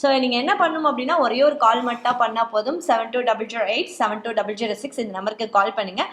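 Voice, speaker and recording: In an echoey room, a woman host with a Tamil Nadu accent makes a promotional address to viewers. She speaks very fast in a fairly high voice, dropping in English words. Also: fairly bright tone. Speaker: show host.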